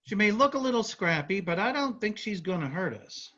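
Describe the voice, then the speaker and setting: A woman's voice: mid-range voice, sing-song, fairly quick, a bit bright. A woman playing a friendly, easygoing travelling actor in a staged play reading. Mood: friendly, wry. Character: friendly, open-minded troupe member from Atlanta. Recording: muffled room recording.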